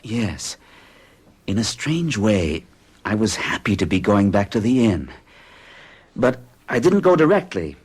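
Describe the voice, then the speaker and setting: A rattled traveler in an old radio mystery. His voice is low and husky, and it rises and falls with his nerves. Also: very animated, unhurried, long pauses, a little mellow, full character voice. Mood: uneasy. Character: anxious American traveler narrating his ordeal. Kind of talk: 1970s radio drama acting.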